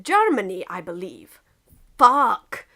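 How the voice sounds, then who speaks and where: A woman's voice: medium pitch, sing-song, slow pace, creaky, sharp tone, full character voice. A woman voicing a frantic, exasperated drunk Englishman in a story reading, speaking emphatically. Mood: alarmed.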